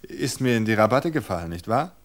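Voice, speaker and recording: A man's voice: fairly low pitch, expressive, a little fast, natural voice. A man voicing a teasing young wife. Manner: teasing, raised voice.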